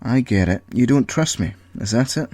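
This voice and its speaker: A man's voice: medium pitch, animated, normal speed, darkish tone, no room sound, close to an everyday voice. A man voicing a frustrated, impatient trapped character in an audio story, pleading and demanding answers. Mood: angry defiant. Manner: exclamatory, demanding questioning.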